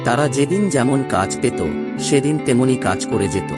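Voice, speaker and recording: Male story narrator over loud music. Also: mid-range voice, lively intonation, moderate pace. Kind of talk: scripted cartoon story narration.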